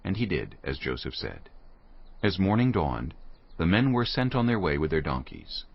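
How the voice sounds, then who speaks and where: Casting: male narrator for scripture, very low voice with animated intonation. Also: normal speed, creaky. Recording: slightly dull studio recording.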